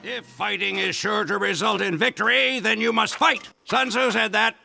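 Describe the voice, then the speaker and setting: A man's voice: high-pitched, fairly even intonation, moderate pace, vocal fry, sharp tone, soft background music, exaggerated voice. A man playing a brash, cocky military man lecturing with absurd confidence in a video game. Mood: cocky, fired up. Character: manic loud military man giving absurd pep talk.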